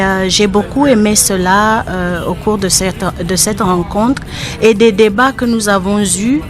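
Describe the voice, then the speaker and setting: A woman from Cameroon gives measured, explanatory interview answers. Her voice is mid-pitched, and music plays clearly behind her. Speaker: life insurance agent, interview guest.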